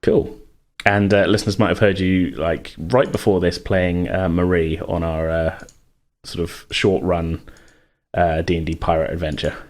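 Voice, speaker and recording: Low-voiced man running a tabletop game. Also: flat delivery, measured pace, a little mellow. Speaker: game master, podcast host. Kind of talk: narrating a tabletop roleplaying game.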